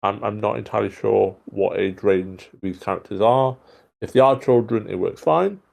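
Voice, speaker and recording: A man's voice: on the low side, moderate pace, vocal fry, darkish tone. A man giving casual, somewhat rambling and hesitant feedback on a script in an online meeting. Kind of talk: casual spoken critique. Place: indoors on an online meeting call.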